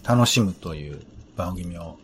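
A man presenting in a relaxed chat show, speaking in a measured, deliberate, mock-formal way. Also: low voice, slight background noise. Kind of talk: relaxed round-table chat.

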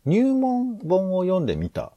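A man's voice: high voice, huge pitch swings, unhurried, warm tone, dry recording. A man co-hosting a podcast in casual discussion, speaking tentatively and circling around his points. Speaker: podcast co-host.

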